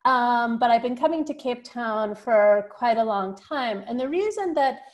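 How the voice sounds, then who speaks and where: A middle-aged American woman giving an online architecture lecture over a video call, in a clear, steady academic way with some fillers. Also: on the high side, a little slow, highs cut off. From United States. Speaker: guest lecturer.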